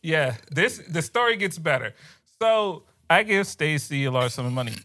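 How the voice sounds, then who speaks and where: Young guy, telling a story, bright voice, bouncy delivery, laughs a lot. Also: on the high side, normal speed, no room sound, clean studio sound. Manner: animated, laughs often, drops to quiet voices when quoting others. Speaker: podcast co-host telling a story. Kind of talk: casual storytelling chat.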